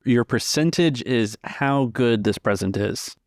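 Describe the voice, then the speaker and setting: A man's voice: mid-range voice, a little slow, no echo, studio quality. A man acting as game master, casually narrating and refereeing a tabletop game.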